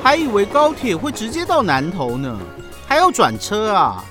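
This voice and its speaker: A man's voice: sits high, wildly swooping pitch, normal speed, pushed and bright, faint music behind, full character voice. A man playing a brash, scatterbrained, whiny schoolboy in a children's audio drama. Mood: grumbling.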